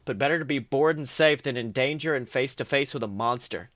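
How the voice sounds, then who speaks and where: A man's voice: medium pitch, brisk, fairly bright tone, no room sound, studio quality. A man, a podcast host, reading fan fiction aloud as second-person narration, now and then stumbling over phrases.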